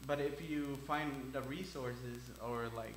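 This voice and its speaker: A man's voice: mid-range voice, steady tone. Guy hosting a chill podcast, lots of uh and restarts, echoey room, bit of hum. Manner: hesitant, halting, many uh and restarts. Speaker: podcast host. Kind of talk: casual chat. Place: community center music studio.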